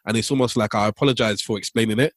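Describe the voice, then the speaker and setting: A man's voice: on the low side, fairly even intonation, very fast, dry recording, studio quality. A man co-hosting a podcast, chatting casually and often repeating words as he restarts his sentences. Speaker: podcast co-host. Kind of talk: casual chat.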